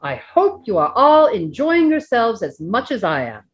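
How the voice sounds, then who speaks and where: A woman voicing an elderly male hobbit making a jovial, deliberate birthday speech in a storybook reading. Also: fairly high pitch, big pitch swings, lo-fi sound, pitched above the performer's own voice. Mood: jovial, grand. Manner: oratorical, deliberate.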